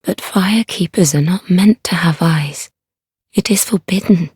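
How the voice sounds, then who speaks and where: An actress playing a serene, devoted fire keeper in a dark fantasy game, speaking archaic lines in a soft, hushed, measured and reverent way. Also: female voice, on the low side, no room sound, clean studio sound. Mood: hushed, troubled. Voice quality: soft, breathy. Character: serene, solemn, devoted female keeper of a sacred flame.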